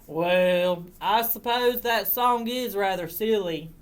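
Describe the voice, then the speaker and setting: A woman voicing a plainspoken, stubborn farmer father in a storybook, folksy and deliberate. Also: mid-range voice, clear, resonant tone, close to an everyday voice. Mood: pondering.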